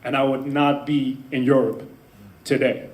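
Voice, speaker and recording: A man's voice: mid-range voice, fairly even intonation, a little slow, reverberant. A man in his twenties from the Netherlands making an earnest point in a debate, apologising for his language. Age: 20s. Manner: earnest, apologetic about swearing. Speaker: audience speaker at a debate. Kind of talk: public debate speech.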